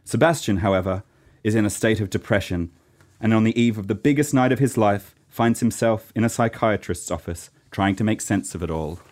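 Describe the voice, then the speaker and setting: A man's voice: on the low side, fairly quick, darkish tone. A man from Norway narrating a scripted radio play in a theatrical, storytelling way.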